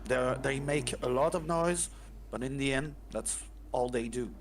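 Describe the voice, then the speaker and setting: A man's voice: medium pitch, unhurried, a little hiss or hum. A man from France with a French accent, a native French speaker chatting casually on a stream, who halts often and searches for his English words. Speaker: co-streamer guest.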